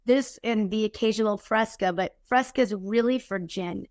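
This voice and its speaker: A woman guest, her voice a little husky from a cold, chatting casually and candidly with a quick, joking manner. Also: medium pitch, a little mellow, dry recording, studio quality. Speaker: podcast guest, keynote speaker. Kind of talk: casual chat.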